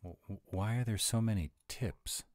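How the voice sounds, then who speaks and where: A man playing a gruff, grumbling tsundere were-dragon who is secretly soft, in an audio roleplay addressed to the listener. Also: on the low side, normal speed, close to an everyday voice. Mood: reluctant, exasperated. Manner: grumbling, exasperated, softening into boyish eagerness.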